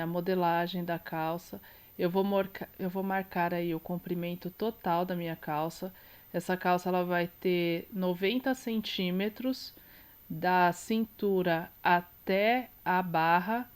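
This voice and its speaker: Slow, congested Brazilian woman teaching sewing. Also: lowish voice, clear, resonant tone. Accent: Brazilian Portuguese. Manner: calm, explains while working, small self-corrections. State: congested, nasal from a cold. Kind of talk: step-by-step sewing tutorial.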